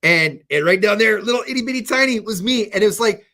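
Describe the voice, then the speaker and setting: A man radio host in casual chat with his co-host, animated, often restarting his sentences. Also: sits high, fast talker, vocal fry.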